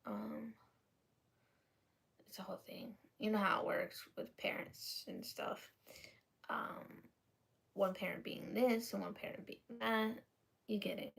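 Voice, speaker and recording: A woman in her twenties rambling through a personal confession to camera, halting, with lots of ums and restarts, and often dropping to a half-whisper. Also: mid-range voice, steady tone, creaky, fairly bright tone, slightly rough. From United States. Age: 20s. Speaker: vlogger.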